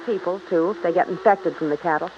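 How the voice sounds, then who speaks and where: A woman's voice: on the low side, fast talker, music in the background, natural voice. Actress as grieving young ranch woman, muffled radio. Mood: bitter, grieving. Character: grieving, stubborn young female ranch heiress. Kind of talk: 1950s radio drama acting. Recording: muffled, hissy old radio recording.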